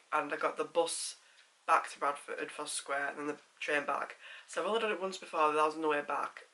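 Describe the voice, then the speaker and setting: A man's voice: on the high side, bright, forceful tone. A man with a Yorkshire accent, chatting casually to camera as a vlogger, rambling with lots of fillers, a bit congested with a sore throat.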